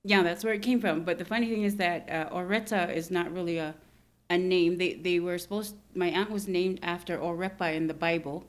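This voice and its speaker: A woman in her thirties from Hawaii, a podcast guest talking softly and thoughtfully in a casual interview, with light laughs. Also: lowish voice, fairly even intonation, a little fast.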